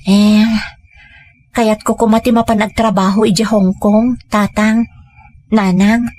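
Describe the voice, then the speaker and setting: A woman's voice: medium pitch, steady tone, unhurried, a little mellow, natural voice. A woman with an Ilocano accent playing a humble, determined married daughter and mother in an audio drama. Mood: hesitant, pleading.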